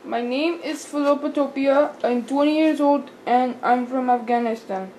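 A young woman voicing an odd young woman doll who talks in a flat, deadpan way. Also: sits high, normal speed, a little hiss or hum, close to an everyday voice, low-quality recording.

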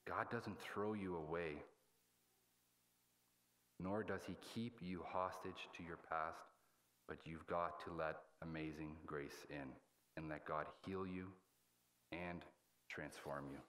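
A man in his thirties preaching a sermon as a pastor, in a halting, plain-spoken, colloquial way. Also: deep voice, fairly even intonation, a bit bright. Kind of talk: sermon with scripture reading. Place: indoors at a church pulpit.

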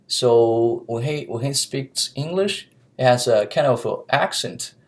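A young man from China speaks English with a Chinese accent, earnest and careful. He pauses with fillers and restarts phrases as he looks for words. His voice is picked up by a laptop webcam in a small office room. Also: medium pitch. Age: young adult. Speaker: student interviewee. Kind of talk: mock job interview. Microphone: laptop webcam mic.

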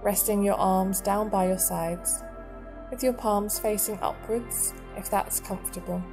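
A woman guiding a meditation in a soothing, measured manner, leaving long pauses between short phrases. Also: medium pitch, steady tone, over music. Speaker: meditation guide. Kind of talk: guided body scan meditation narration.

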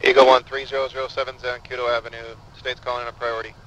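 A man's voice: medium pitch, flat delivery, very fast, fairly bright tone, dull audio, a little hiss or hum. A man giving terse, procedural police radio reports as an air unit in game role-play.

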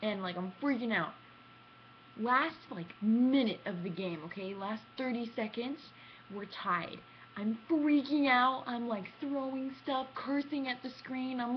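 Young woman vlogger, muffled noisy webcam sound. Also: high voice. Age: young adult. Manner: self-mocking, theatrical, acts out quotes. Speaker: gaming vlogger. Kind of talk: casual animated storytelling to camera. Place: small room at home, indoors. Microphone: computer webcam at arm's length. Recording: dull lo-fi webcam sound with clear noise.

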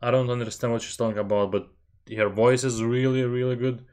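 A man's voice: medium pitch, steady tone, brisk, a little mellow, clean tone. Young man chatting on a headset. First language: Lithuanian. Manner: chatty, self-deprecating, laughs often. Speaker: reaction channel host. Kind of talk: casual music reaction commentary. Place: small bedroom at home. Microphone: headset with desk mic, webcam framing.

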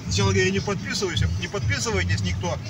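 A man's voice: sits high, racing, recorded from a distance, loud music behind the voice. A man vlogging casually from a moving car, rambling and joking as he repeats himself. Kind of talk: casual rambling chat while driving. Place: inside a moving car at night. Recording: loud car engine and road noise.

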